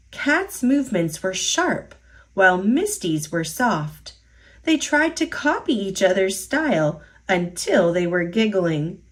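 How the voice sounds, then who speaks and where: Woman storyteller for kids, slow and deliberate, lively rising and falling voice. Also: medium pitch. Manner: slow, expressive, sing-song storytelling. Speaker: storytime reader. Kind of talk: children's picture book read-aloud.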